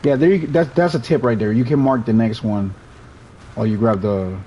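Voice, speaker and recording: Young puerto rican guy streaming, spanish is his first language, mellow easygoing voice, rambles and restarts a lot. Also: mid-range voice, moderate pace, highs cut off, slight background noise. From Puerto Rico. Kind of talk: casual gameplay commentary.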